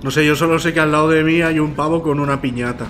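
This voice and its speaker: A man's voice: on the high side, fairly even intonation, quick pace, a bit bright, slight background noise. A man chatting with friends while gaming, playful and full of mock insults. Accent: Valencian Spanish. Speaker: friend on voice chat. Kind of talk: casual chat while gaming.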